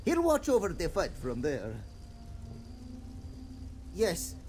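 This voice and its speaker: A man's voice: high voice, wildly swooping pitch, takes it slowly, a little mellow, slight background noise, heavily acted. An actor playing a boastful but cowardly, lovable cat-man adventurer in a fantasy video game, theatrical with nervous hesitations. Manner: theatrical bragging with nervous hesitation, third-person speech. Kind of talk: video game voice acting, comic fantasy dialogue. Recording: game audio with faint music behind.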